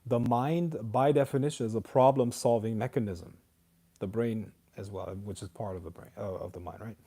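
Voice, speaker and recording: A man's voice: medium pitch, normal speed, warm tone. A man hosting a casual livestream Q&A, reading viewer questions aloud and then answering them in an emphatic, lecturing way. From outside America.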